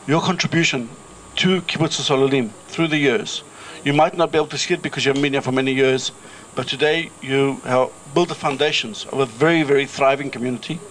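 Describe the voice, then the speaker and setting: A man's voice: mid-range voice, fairly quick, hardly any pauses, a bit bright, slight background noise, low-quality recording. A middle-aged man from South Africa with a South African accent, chairing a kibbutz and giving a chatty, anecdotal speech to a reunion crowd outdoors. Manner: chatty anecdotal storyteller with filler-laden restarts.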